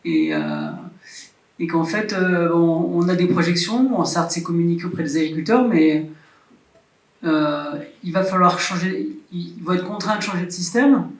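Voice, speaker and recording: High-voiced man, distant in a hall. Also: animated, moderate pace. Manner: questioning, hesitant. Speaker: livestock farming advisor. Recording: roomy hall, distant mic.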